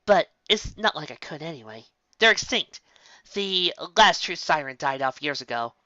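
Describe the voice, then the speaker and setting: A woman's voice: sits low, lively intonation, normal speed, bright, forceful tone, dry recording, lo-fi sound. A woman voicing a bookish young female unicorn scholar who lectures eagerly and precisely. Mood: earnest lecturing.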